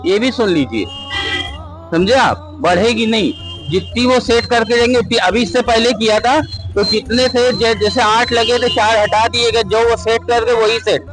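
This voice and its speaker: A man's voice: extremely high pitch, normal speed, over music, poor audio. A young man answering a street interview insistently, repeating himself and punctuating points with questions. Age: young adult. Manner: insistent, repetitive, tag questions. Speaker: member of the public interviewed.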